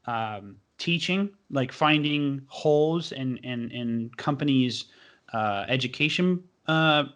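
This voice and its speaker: A young man hosts his podcast in a loose, enthusiastic ramble full of fillers. His voice is bright and forward. His pitch sits in the middle range. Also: takes it slowly. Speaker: podcast host. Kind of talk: casual podcast chat.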